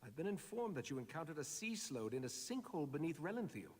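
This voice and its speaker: An actor voicing a stately, wary male master mage giving a quest in a video game, with measured, formal delivery. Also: a little high, sing-song, a little mellow, breathy edge, big-room sound. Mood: grave.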